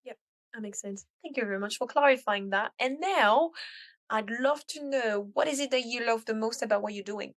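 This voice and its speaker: A woman's voice: a little high, moderate pace. A woman hosting a career podcast, upbeat and welcoming as she interviews a guest. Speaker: podcast host. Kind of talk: casual interview chat.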